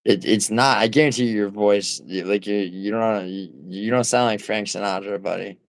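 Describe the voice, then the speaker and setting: A man's voice: on the low side, steady tone, normal speed, slight background noise. A man from New City, chatting on a livestream in a rambling, profane way with lots of fillers and restarts. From New York City. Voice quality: nasal. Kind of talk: casual livestream chat, reading viewer comments.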